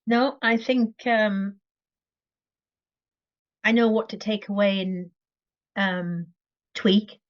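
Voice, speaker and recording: A woman's voice: medium pitch, fairly even intonation, takes it slowly, long pauses. Hesitant woman on a video call. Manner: hesitant, short replies with fillers. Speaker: client in coaching call. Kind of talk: casual chat. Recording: dull, lo-fi video call audio.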